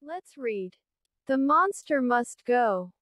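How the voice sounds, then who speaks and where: A woman voicing a friendly animated girl helper in a learning app, encouraging and carefully enunciated. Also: on the high side, takes it slowly, no room sound, clean studio sound, exaggerated voice. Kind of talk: slow encouraging read-aloud prompts.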